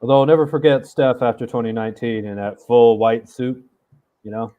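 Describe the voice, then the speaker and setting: A man's voice: medium pitch, fairly quick, warm tone. A man co-hosting a casual chat from a remote call, thinking aloud in a chatty way. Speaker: regular co-host. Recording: tinny phone-like line.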